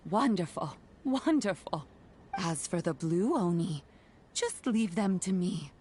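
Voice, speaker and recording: A woman voicing a kindly old granny in a video game, gentle, reassuring and proud of those she cares for. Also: fairly low pitch, animated, takes it slowly, a little hiss or hum. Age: older. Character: kindly, proud, doting old granny who raised an oni.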